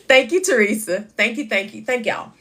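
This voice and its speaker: A woman hosts a live chat in a loud, carrying voice that sits a touch high. She talks to her viewers casually and reads their comments aloud as they come in. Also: lively intonation, normal speed. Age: 50s. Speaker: livestream host.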